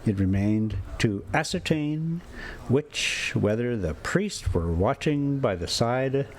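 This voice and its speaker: A man's voice: medium pitch, animated, slow. A man with a folksy manner, hosting a read-aloud story time in a casual, homespun way. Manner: folksy, rambling, chuckling self-commentary. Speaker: storyteller and host reading a classic novel.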